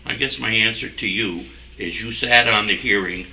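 A man's voice: fairly low pitch, normal speed, pushed and bright, a little hiss or hum. An older man speaking defensively and insistently as a board member at a public meeting. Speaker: town select board member. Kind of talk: argumentative speech at a public meeting. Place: wood-panelled municipal meeting room. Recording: echoey meeting room, distant microphone.

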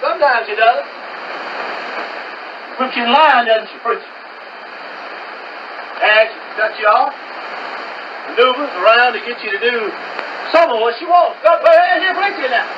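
Screaming high-pitched male preacher on noisy shortwave. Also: normal speed, long pauses. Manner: screaming, shouted exhortation with sudden drops into talk. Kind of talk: fiery Pentecostal holiness sermon. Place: heard from a shortwave receiver's speaker. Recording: noisy, muffled shortwave radio broadcast, distant.

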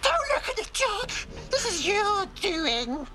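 A woman playing a menacing, gleeful dirty fairy monster in a comedy horror short, scolding and gloating theatrically in a shrill voice. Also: very animated, slow, background noise, heavily acted. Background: film music.

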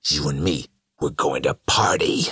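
A man voicing a shapeshifting monstrous serial killer in an audiobook, a loud, mocking, theatrical showman full of gleeful menace. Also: rumbling low, normal speed, husky, no echo, studio quality, full character voice.

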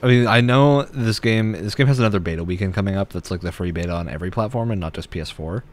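A man's voice: on the low side, quick pace. A young man who streams games, giving casual commentary while he plays in a small room. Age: young adult. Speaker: game streamer. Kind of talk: casual gameplay commentary chat.

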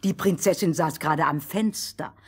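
A woman telling a fairy tale as a storyteller, expressive and theatrical, in a playful scripted style. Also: low-pitched, normal speed, husky.

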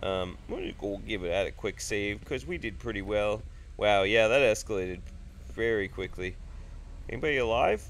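A man's voice: on the low side, moderate pace, fairly bright tone, slight background noise. A man in his thirties with a sick, nasal voice from blocked sinuses, rambling and joking through casual game commentary at a home desk. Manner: rambling, jokey, swears freely. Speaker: gaming YouTuber. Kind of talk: casual let's play commentary.

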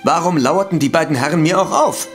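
An actor playing an evasive, indignant doctor under suspicion in a German audio drama, blustering and defensive. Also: male voice, a little high, fairly quick, faint music behind, close to an everyday voice.

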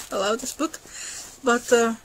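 A woman's voice: on the high side, fairly even intonation, takes it slowly, husky. A young woman whose first language is Finnish, retelling an old fairy tale in a chatty, casual way, laughing often and restarting her sentences. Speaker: art and mythology educator. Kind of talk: casual storytelling lecture.

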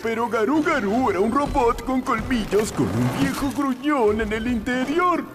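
A man's voice: very high voice, sing-song, normal speed. A man voicing a dim, good-hearted cartoon jungle hero who talks in broken sentences. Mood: earnest, simple. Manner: simple broken grammar, talks in third person. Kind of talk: children's cartoon dub. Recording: music behind the voice.

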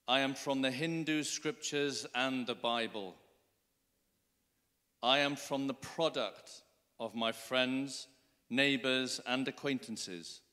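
A man's voice: mid-range voice, slow pace, pushed and bright. A middle-aged man reading another writer's poem on a concert stage, line by line, into a handheld mic. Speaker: poetry reader. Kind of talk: measured poetry reading. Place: wood-panelled concert hall stage. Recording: echoey concert hall.

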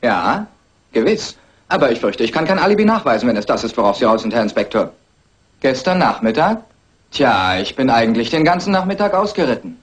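An actor as an apologetic, animated gentleman suspect. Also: medium pitch, fairly quick, natural voice. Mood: apologetic, evasive. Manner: apologetic, hesitant. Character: polite, hesitant, regretful gentleman murder suspect. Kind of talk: German radio crime drama acting.

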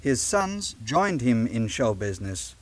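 A man narrating a children's read-along book in a measured, deliberate storytelling style with dramatic emphasis, on a late-1970s audio cassette. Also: medium pitch, very animated.

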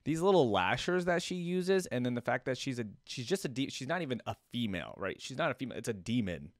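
A man's voice: mid-range voice, big pitch swings, fast talker, no room sound. A young American man in his twenties giving casual reaction commentary as a video host, speaking close to the mic.

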